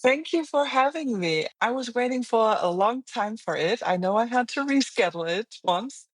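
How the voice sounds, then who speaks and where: A woman's voice: on the high side, lively intonation, moderate pace, dry recording. A middle-aged woman with a German accent, speaking as an interview guest in an earnest, reflective way with frequent restarts. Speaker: guest, finance executive. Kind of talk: interview conversation.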